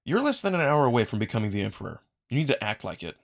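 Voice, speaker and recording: A man's voice: medium pitch, sing-song, racing. A man playing a frank young man, a loyal but exasperated friend, blunt and impassioned as he urges someone on. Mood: stern, urging.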